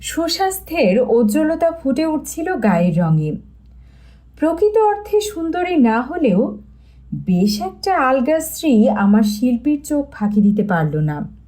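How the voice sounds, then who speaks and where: A woman's voice: high-pitched, big pitch swings, a little slow, warm tone. A woman reading a mystery story as scripted audiobook narration.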